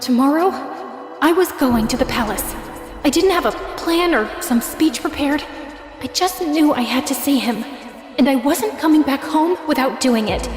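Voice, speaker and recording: A woman's voice: high voice, animated, normal speed. A woman playing a passionate, wronged young stepsister, furious and heartbroken, telling her story dramatically. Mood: tense, sorrowful. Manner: intense, emotional delivery. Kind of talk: dramatic first-person story narration. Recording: reverberant voice over prominent music.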